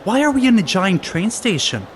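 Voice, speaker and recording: A man's voice: sits high, very animated, moderate pace, slight background noise. An actor playing a nervous, sarcastic man on the run who whines and complains in a sci-fi radio drama. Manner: whiny and sarcastic, complains a lot.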